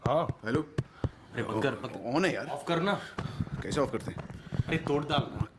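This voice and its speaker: An actor playing a rough, brusque, suspicious man in an audio drama. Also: mid-range voice, expressive, racing, close to an everyday voice. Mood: suspicious, agitated. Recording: noisy recorder with clicks.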